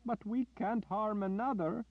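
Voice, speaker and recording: A man's voice: a little high, big pitch swings, unhurried, no echo, pitched above the performer's own voice. A man voicing a timid, peace-loving man who talks in a whiny, plaintive way. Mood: whining. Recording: dull dry lo-fi audio.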